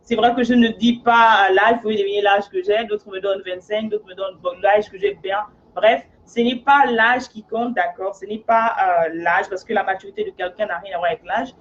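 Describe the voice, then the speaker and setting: A woman's voice: mid-range voice, fairly even intonation, normal speed, muffled recording, slight background noise. A woman from Africa who works as a life coach, talking in an earnest, casual monologue and often checking in with her listener.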